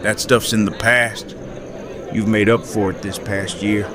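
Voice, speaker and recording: A man playing a gruff, protective survivor in an audio drama, terse, blunt and plain-spoken. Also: fairly low pitch, little pitch movement, measured pace, natural voice. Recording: outdoor crowd chatter behind. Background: party crowd chatter.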